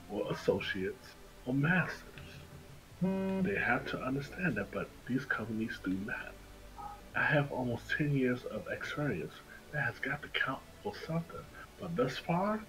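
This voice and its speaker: A man chatting casually and earnestly, repeating words haltingly as he makes his point with emphasis. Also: medium pitch, fairly bright tone, poor audio. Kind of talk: casual chat.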